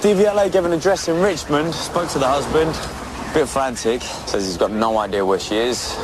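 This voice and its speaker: Brisk, doubting policeman played by a man for radio drama, mid-range, over traffic noise. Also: mid-range voice, close to an everyday voice. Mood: matter-of-fact. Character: brisk, sceptical male British police officer. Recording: distant, noisy outdoor street recording.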